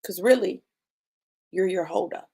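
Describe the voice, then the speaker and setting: A woman's voice: on the low side, a little slow, vocal fry, dark tone, slightly rough. A woman in her late fifties delivering a motivational sermon, emphatic and repetitive, addressing listeners as y'all and baby. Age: 50s. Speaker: preacher.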